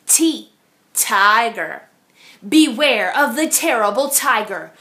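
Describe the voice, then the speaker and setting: A woman reading a rhyming picture book aloud to young children in an expressive, deliberate, sing-song manner. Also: on the high side.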